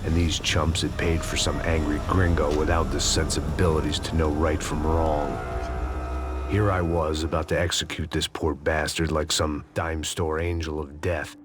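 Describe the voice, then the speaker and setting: An American man playing a bitter, world-weary hired killer, narrating a hardboiled noir game with cynical, sardonic brooding. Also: extremely low pitch. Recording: music under the voice.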